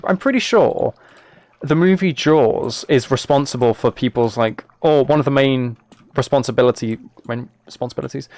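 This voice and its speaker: A man's voice: medium pitch, no echo. A British man chatting casually on a game livestream, talking to viewers in a mock-exasperated, self-deprecating way. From United Kingdom. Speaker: live streamer.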